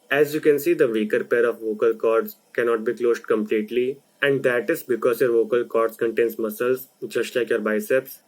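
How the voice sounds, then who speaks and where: A young man from India who runs a video channel, talking through a voice lesson in a slow, deliberate explainer style. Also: mid-range voice, noisy background. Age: young adult. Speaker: video creator. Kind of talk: explainer narration.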